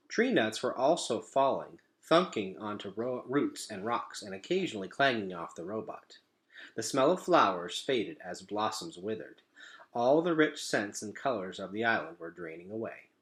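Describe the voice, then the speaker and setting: A man reading a children's storybook aloud to pupils in an expressive, deliberate storytelling manner. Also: medium pitch.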